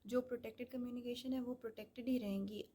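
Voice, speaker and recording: A woman's voice: on the high side, steady tone, fast, dark tone, a little hiss or hum. A woman with a Pakistani accent explaining law in an informal lecture style, mixing in English legal terms.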